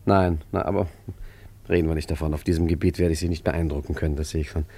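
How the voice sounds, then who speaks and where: A low-pitched male street painter who fancies himself a philosopher and a master forger. He talks with chatty irony and a touch of mock grandeur, in the manner of a radio play. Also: fairly even intonation, quick pace, mellow, husky, heavily acted. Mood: sly, persuasive. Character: wry philosophical male street painter and self-proclaimed great art forger. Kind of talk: German radio play acting and narration.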